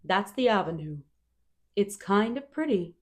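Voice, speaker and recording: A woman's voice: mid-range voice, lively intonation, unhurried, close to an everyday voice. Woman playing a shy, homely farm man. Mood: gently reassuring. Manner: hesitant, homely, understated. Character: shy, kindly, slow-spoken farm man.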